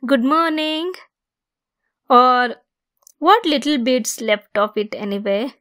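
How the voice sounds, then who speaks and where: A woman performing a scripted ASMR roleplay as a possessive female centaur, speaking in an intimate, gently teasing manner. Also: high voice, takes it slowly. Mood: calm, caring.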